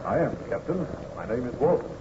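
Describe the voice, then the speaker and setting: A man's voice: mid-range voice, big pitch swings, lo-fi sound, lightly acted. Noisy old-radio sea captain, acted by a man. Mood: courteous, commanding. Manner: formal, measured, authoritative. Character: steady, courteous, commanding male polar ship captain. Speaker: actor in radio drama. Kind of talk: old-time radio drama acting.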